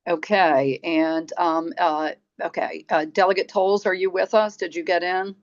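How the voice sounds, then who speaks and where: A woman's voice: on the low side, fairly even intonation, normal speed. A woman chairing a legislative committee meeting, leading the agenda with frequent hesitations and fillers. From Maryland. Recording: dull muffled audio.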